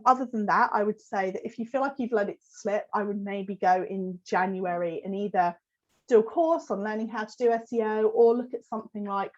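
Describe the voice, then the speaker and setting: A woman, croaky from a cold, giving a conversational business webinar and answering questions from the chat. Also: mid-range voice, highs cut off. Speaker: host giving a business talk. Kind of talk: live webinar with Q&A.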